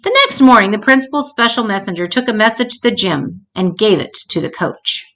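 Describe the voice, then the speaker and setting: A woman reading a children's book aloud in an expressive storytelling style, shifting her pitch to act out dialogue. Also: fairly quick. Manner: acts out dialogue with changing pitch.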